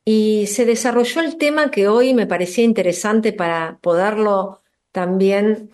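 A woman radio host with a Rioplatense Spanish accent lays out the topic at a quick, eager clip and fills her pauses with short hesitations. Her voice sits in a middle range for a woman. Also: medium pitch, darkish tone. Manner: brisk, eager, with filler sounds. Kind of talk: radio interview.

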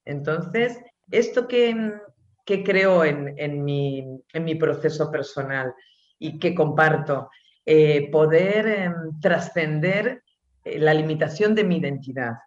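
A woman with a Spanish accent, an author answering interview questions slowly and thoughtfully, pausing often. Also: low-pitched, roomy sound, dull audio. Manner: slow, thoughtful, with many pauses and fillers. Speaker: author and guest.

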